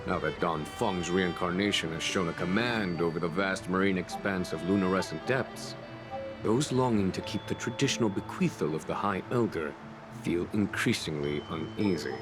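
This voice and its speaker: A man voicing a low, smooth cunning general. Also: normal speed, natural voice. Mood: composed. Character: calm, cunning adult male strategist general. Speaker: game character. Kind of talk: video game cutscene dialogue. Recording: game audio with loud music.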